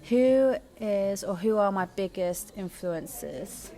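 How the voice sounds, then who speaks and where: A woman's voice: mid-range voice, animated, slow pace, mellow. A young woman, born in Taiwan and raised in England, talking earnestly about her art and faith, with frequent ums and restarts. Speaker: artist.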